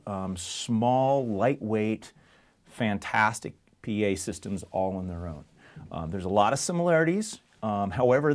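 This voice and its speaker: A man's voice: mid-range voice, darkish tone. A man presenting for a music store, comparing products in a casual, plain-spoken, conversational way as he explains things to the viewer.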